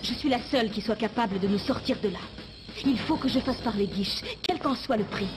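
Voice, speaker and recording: A woman voicing a resourceful young woman in a French animated series. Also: on the high side, brisk, music in the background, natural voice. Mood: determined, tense. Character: resourceful young woman feigning hurt before her boss.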